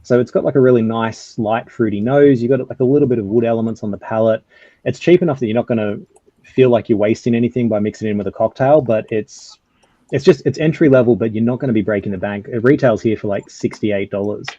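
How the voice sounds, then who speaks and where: A man's voice: medium pitch, fast, warm tone, no echo, muffled recording. A man chatting casually and loosely in a roundtable on a video call.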